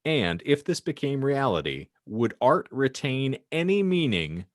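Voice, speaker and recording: A man's voice: medium pitch, sing-song, a little slow, dry recording, clean studio sound. A man hosting a podcast, moving between scripted narration and casual chat with theatrical, wordy phrasing. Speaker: podcast host.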